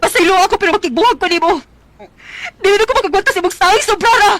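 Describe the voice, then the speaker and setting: A woman's voice: extremely high pitch, rapid-fire, fairly bright tone. A woman playing a poor, overburdened mother fed up with her irresponsible husband, acting in a Filipino radio drama with a Cebuano accent. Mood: angry, tearful.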